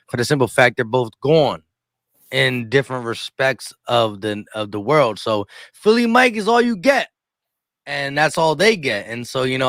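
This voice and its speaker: Bright, forceful man in his thirties. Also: medium pitch, no room sound, studio quality. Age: 30s. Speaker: sports show host. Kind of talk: casual chat.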